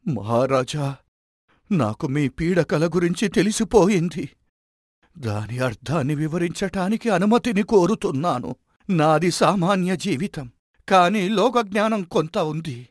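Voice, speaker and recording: A humble old villager of a moral tale, acted by a man, wise and earnest. The voice sits high for a man, respectful and reassuring as it explains, with a dry sound. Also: lively intonation, unhurried, no room sound. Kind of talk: dramatized moral storytelling.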